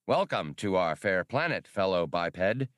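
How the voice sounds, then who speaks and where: A man's voice: deep voice, big pitch swings, measured pace, fairly bright tone, dry recording, studio quality, heavily acted. A man voicing a tall alien police inspector in an audiobook, officious and pompous with forced heartiness. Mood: forced hearty. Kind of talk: audiobook character acting.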